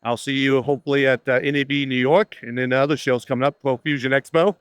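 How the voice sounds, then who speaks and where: A man with a loud voice, chatting casually as a video creator interviewing at a trade show. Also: mid-range voice, steady tone, smooth, no echo. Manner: loud, chatty, asks quick questions. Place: busy trade show floor.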